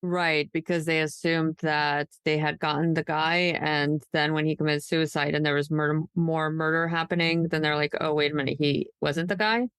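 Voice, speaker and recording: A woman hosting a true crime podcast, discussing cases conversationally and pausing as she pieces the details together. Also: sits low, steady tone, fairly bright tone, clear, resonant tone, dry recording, studio quality.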